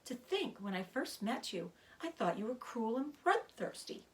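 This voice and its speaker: Woman doing a anxious little boy pig for a storybook, sweet and pleading, voice swoops up high. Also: moderate pace, rough recording. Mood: moved, humble. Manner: pleading, excitable, swooping upward. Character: earnest, emotional young male pig, devoted and anxious. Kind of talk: children's storybook read-aloud.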